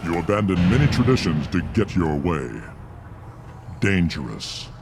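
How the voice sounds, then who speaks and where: A hostile alien traditionalist from a video game. His low voice is haughty and full of contempt, set over a clear rumbling background. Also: sing-song, a little slow, very noisy, heavily acted. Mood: disapproving. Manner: contemptuous, accusing.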